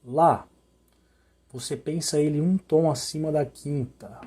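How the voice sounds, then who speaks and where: A hoarse man teaching musical intervals, singing note names to demonstrate them and checking in often with short questions. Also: on the high side, a little slow, dark tone. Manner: sings interval notes to show them. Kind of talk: sung and spoken music lesson.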